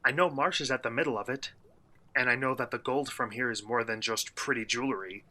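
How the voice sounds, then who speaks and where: An actor playing an earnest, guarded young outsider in a radio play, asking questions in a measured, hesitant way. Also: male voice, mid-range voice, pushed and bright. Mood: earnest, probing. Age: young adult.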